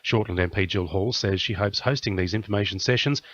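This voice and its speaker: A man's voice: on the low side, a little fast, fairly bright tone, no room sound. A man with an Australian accent reading a scripted radio news bulletin. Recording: dull lo-fi radio audio.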